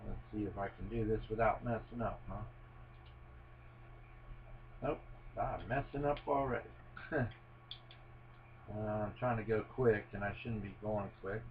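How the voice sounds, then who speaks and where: Low-voiced man chatting while building. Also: measured pace, pauses often, narrow, tinny audio, a little hiss or hum. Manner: rambling, self-deprecating, many fillers and restarts. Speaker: hobbyist building a Lego set on video. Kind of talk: casual running commentary while building. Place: at home, on a table, with a cat nearby.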